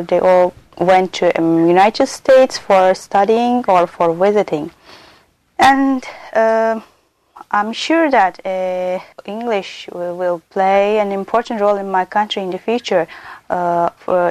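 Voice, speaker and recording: A woman's voice: on the low side, a little mellow, no echo, rough recording. Hesitant Turkish-accented young woman. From Turkey. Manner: hesitant, frequent pauses and "uh" fillers. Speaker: doctor and doctoral student. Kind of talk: unscripted spoken account for a listening exercise.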